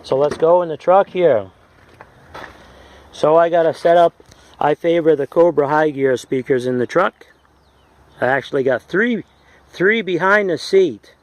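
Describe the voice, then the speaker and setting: A man giving a casual, halting, folksy hands-on demonstration as a radio hobbyist, from the United States. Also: a little high. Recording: noisy home video with radio sound.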